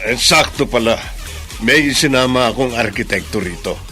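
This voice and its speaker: An actor playing a genial, talkative boss in a radio drama, casual and teasing, with a Filipino accent. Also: male voice, mid-range voice, lively intonation, normal speed, pushed and bright, faint music behind.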